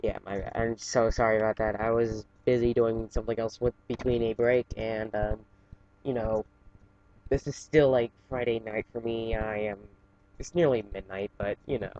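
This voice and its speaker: A man casually reading fan fiction aloud in a halting way, stumbling and correcting himself, with chatty asides. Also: on the low side, fairly even intonation, darkish tone, muffled recording, slight background noise.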